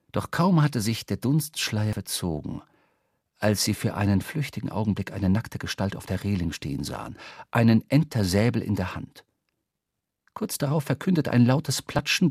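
Male radio drama narrator, mid pitch, dramatic literary storytelling, very dry studio sound. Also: darkish tone, dry recording.

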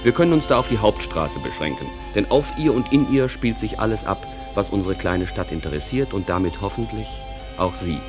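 A genial stage manager played by a man speaks straight to the audience in a low voice. He rambles conversationally and without hurry, as in a radio play, with prominent music behind him. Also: animated, close to an everyday voice. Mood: leisurely, genial. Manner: conversational, unhurried, digressive. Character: genial stage manager introducing a small town. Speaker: narrator. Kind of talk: theatrical narration addressed to the audience.

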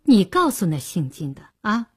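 A woman playing a cold, sarcastic, fed-up partner with a breathy, husky voice and curt, biting delivery in an audiobook. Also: mid-range voice, big pitch swings, moderate pace. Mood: cold anger. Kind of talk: dramatised audiobook dialogue.

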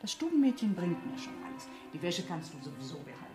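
A woman's voice: on the low side, very animated, fast, over music. An actress playing a wealthy middle-aged aunt in a German radio play, fussy and insistent, anxious about her standing and evasive. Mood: brisk, placating.

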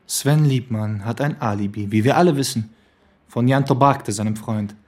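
A man's voice: medium pitch, moderate pace, darkish tone, lightly acted. A male actor playing a wry, bookish police detective in a German radio crime drama, with a sardonic, laconic manner. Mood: analytical.

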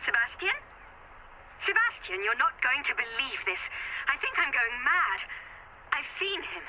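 Over a faintly noisy telephone line comes a frantic lady in a radio drama. Her high voice is extremely sharp and tense, swooping as she pleads. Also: sing-song, measured pace, full character voice. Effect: telephone filter.

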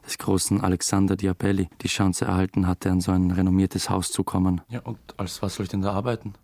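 A man's voice: low voice, flat delivery, fast talker, a little mellow, no echo. An actor playing a diffident young theatre dramaturg who narrates his own story in a radio drama, dry and understated. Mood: unsure.

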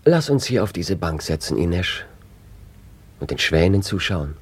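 Deep, husky, melancholy fiancé. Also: male voice, big pitch swings, unhurried, heavily acted. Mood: subdued, pleading. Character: gentle, melancholy male fiancé Enrique, patient and pleading. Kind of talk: German radio play acting.

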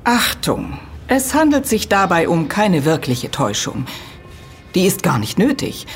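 Low-voiced, emphatic lecturing female professor. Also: huge pitch swings, measured pace, soft background music. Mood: matter-of-fact. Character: authoritative female professor, precise and patiently correcting. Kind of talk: German audio drama dialogue.

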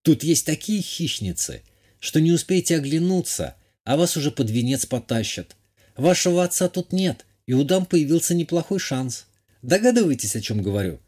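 A man acts out a young countess from an audiobook novel, pitched up. She is genteel and coquettish, socially shrewd and poised. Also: big pitch swings, moderate pace, a little mellow, breathy edge. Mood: warning.

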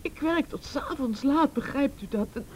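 A woman plays an anxious, pleading mother in a radio drama. Her voice is high and emotional, halting as she defends herself. The recording carries a faint rumbling noise. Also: a little mellow, natural voice. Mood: anxious, defensive. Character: distraught, defensive, pleading mother of teenage son. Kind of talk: Dutch radio play acting.

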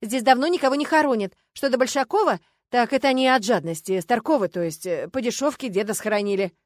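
A woman's voice: on the high side, fast, no echo, studio quality, pitched above the performer's own voice, close to an everyday voice. Woman reading as a plain-spoken local policeman. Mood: objecting. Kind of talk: audiobook character voice.

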